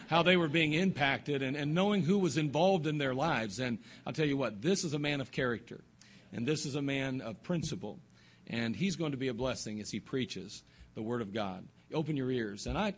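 Earnest pastor introducing a guest. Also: male voice, mid-range voice. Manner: earnest, warm praise with fillers. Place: church.

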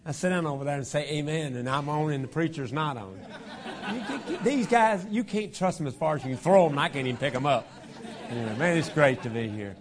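Guest preacher, man, a little hoarse, folksy and joking, lapel mic in a church. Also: fairly high pitch, animated, brisk, crowd noise. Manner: folksy, self-deprecating, chuckling asides. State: hoarse from a cold. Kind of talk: joking sermon opening. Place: church auditorium.

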